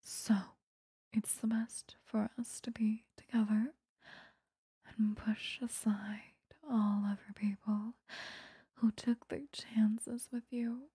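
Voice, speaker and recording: Obsessive vampire seductress acted by a woman for intimate ASMR roleplay: very breathy whisper, dark and soft, menacingly possessive. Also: fairly high pitch, little pitch movement, no room sound, exaggerated voice. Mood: coaxing, sinister. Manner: slow, coaxing, intimate. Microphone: close microphone.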